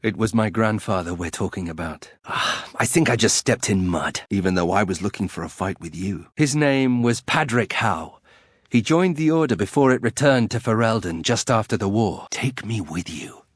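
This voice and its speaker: A brooding young nobleman from a fantasy game, speaking in a low, slightly rough voice. He is formal, terse and bitter, with the pride of a fallen house. The recording is dry and close. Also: lively intonation, moderate pace, no echo. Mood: guarded, reflective. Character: brooding, proud young nobleman turned reluctant warrior. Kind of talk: fantasy video game voice acting.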